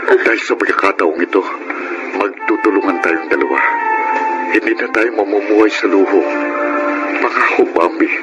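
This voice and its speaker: A man's voice: fairly low pitch, normal speed, long pauses, dull audio, close to an everyday voice. A man with a Filipino accent playing an earnest, repentant father in a radio drama. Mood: persuasive, reassuring. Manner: earnest, solemn. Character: repentant, reassuring father who squandered the family fortune. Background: loud music.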